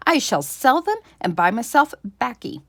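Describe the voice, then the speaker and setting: A woman voicing a gruff, gloating old male farmer in a storybook, emphatic and blustering. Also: fairly high pitch, sing-song, normal speed, dry recording, clean studio sound, pitched above the performer's own voice. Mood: indignant.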